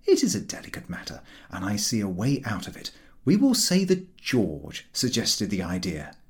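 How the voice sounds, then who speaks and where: A man performs a scheming Edwardian married gentleman from a comic audiobook. He is wordy and emphatic, declaiming with self-righteous resolve and very wide swings of pitch. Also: wildly swooping pitch, normal speed. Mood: insistent, scheming.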